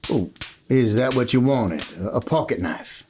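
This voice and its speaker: A man's voice: medium pitch, expressive, a little slow, a little mellow, natural voice. A man playing an easygoing, kindly Texas cowboy with a hesitant, rambling folksy manner in a Western radio play. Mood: gently concerned. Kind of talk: old-time Western radio play acting. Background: plucked guitar music.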